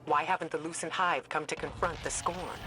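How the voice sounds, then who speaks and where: An actor playing a stern, commanding leader who speaks in a measured, grave, authoritative way. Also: male voice, fairly high pitch, fairly even intonation, background noise, close to an everyday voice. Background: music.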